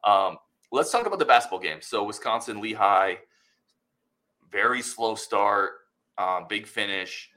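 A man hosting a casual sports podcast, his voice gravelly and hoarse from a cold, talking in a loose, conversational way. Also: lowish voice, measured pace. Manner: relaxed, conversational, reads ads loosely with fillers. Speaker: podcast host.

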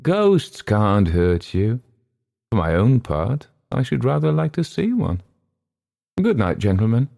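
A man voicing an unafraid old gentleman with a scoffing, assured manner in a storybook reading. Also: medium pitch, big pitch swings, slow, vocal fry, pitched above the performer's own voice, full character voice.

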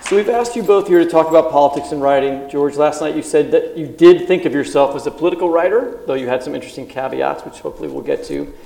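A man's voice: a little high, fast talker, gravelly, hardly any pauses, mellow. A man co-hosting a live podcast taping, reading a scripted introduction and then asking loose conversational questions. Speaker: podcast co-host. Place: stage at a live book festival event. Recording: roomy hall sound at a live event.

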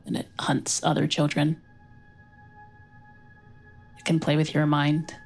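A woman's voice: sits low, full character voice. An actress playing an anxious, haunted young mother in a horror audio drama, hesitant, tense and earnest. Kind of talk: audio drama narration and dialogue.

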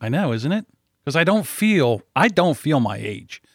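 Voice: medium pitch, big pitch swings, normal speed, dry recording, studio quality. A podcast editor in their thirties joining in with casual, joking banter. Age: 30s.

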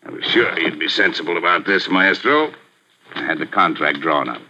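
A deep, forceful gangster boss on old radio. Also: male voice, lively intonation, a little hiss or hum, full character voice. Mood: menacing. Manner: tough street slang, slow menacing drawl. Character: ruthless male gangster gambling boss, middle-aged, menacing. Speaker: gambling house owner. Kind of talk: 1940s radio acting. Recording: muffled 1940s radio broadcast.

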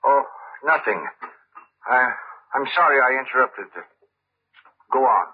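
An actor playing a gruff but kindly saloon keeper, blunt and folksy, in an old-time radio drama. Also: male voice, medium pitch, unhurried. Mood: uneasy. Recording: tinny, band-limited old radio recording.

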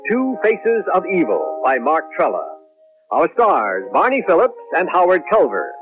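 High, animated male radio announcer. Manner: polished, promotional. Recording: muffled old radio broadcast.

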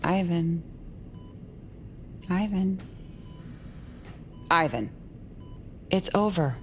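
An actress playing a calm, menacing doctor, measured, clinical and condescending, in an audio drama. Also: female voice, on the low side, slight background noise. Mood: patronizing, threatening. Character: calm, menacing woman doctor running cruel brain procedures. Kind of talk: audio fiction acting.

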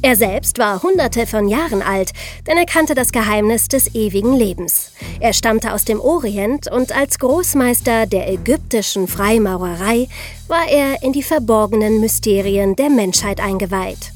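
A woman's voice: fairly high pitch, animated, a little slow, faint music behind. A woman, co-hosting a podcast and telling history in a conversational storytelling style. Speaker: podcast co-host.

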